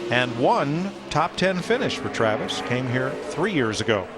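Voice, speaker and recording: A man's voice: medium pitch, big pitch swings, unhurried, loud music behind the voice. A man calling a live stock car qualifying session as the play-by-play announcer, upbeat and reeling off driver stats. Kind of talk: live race commentary.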